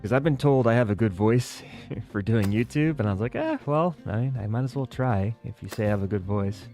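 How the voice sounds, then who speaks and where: A man giving casual, musing commentary while playing a building game, thinking aloud with relaxed asides. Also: medium pitch, fairly quick, faint music behind. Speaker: gaming video creator.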